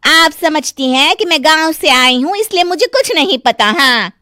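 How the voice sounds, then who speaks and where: A woman's voice: high voice, expressive, moderate pace, bright, forceful tone, dry recording, clean studio sound. A woman playing a haughty, whiny young daughter-in-law in a moral-story cartoon, with a drawling, exaggerated delivery. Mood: sulky, scornful.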